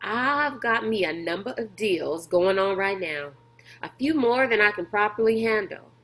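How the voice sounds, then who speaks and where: Big brash schemer for a storybook, voiced by a woman: loud, folksy, bossy, unhurried. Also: medium pitch, expressive. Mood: sly, boastful. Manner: loud folksy drawl, hollering, pushy.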